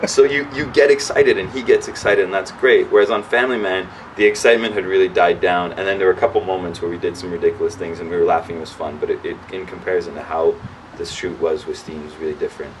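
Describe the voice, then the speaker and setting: Chatty young actor, distant and humming. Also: male voice, sits low, quick pace. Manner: lively storyteller, acts out his own past lines; many restarts and "like" fillers. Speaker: actor, interview guest. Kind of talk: casual chat. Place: indoor sit-down interview. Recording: distant mic with clear background hum.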